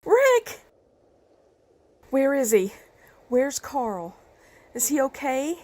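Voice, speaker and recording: A frantic, pleading mother acted by a woman in a comic-book drama. Her voice is high and swoops widely, with drawn-out, slow words. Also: expressive. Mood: frantic, distraught. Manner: insistent, pleading. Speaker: voice actress in a motion comic. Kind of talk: dramatized motion comic dialogue.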